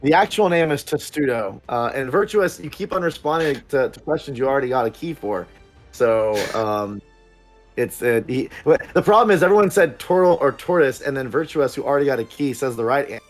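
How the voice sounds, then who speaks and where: A man's voice: medium pitch, expressive, brisk, faint music behind. A man hosting a casual livestream and chatting to viewers in a rambling, upbeat way full of fillers. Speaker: producer and stream host.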